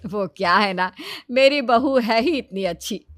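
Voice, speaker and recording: A woman's voice: a little high, unhurried, fairly bright tone, dry recording. A woman playing a proud, questioning middle-aged mother-in-law in a cartoon family drama.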